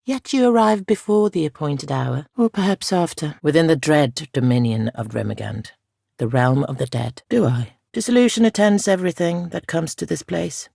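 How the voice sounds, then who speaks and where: Casting: ancient female ghost guardian for a fantasy game. Soft, heavily creaky, solemn and weary, formal diction, very close dry sound. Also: deep voice, expressive, dry recording, exaggerated voice. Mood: solemn, aloof. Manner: solemn, measured, archaic formal phrasing. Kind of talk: video game character dialogue.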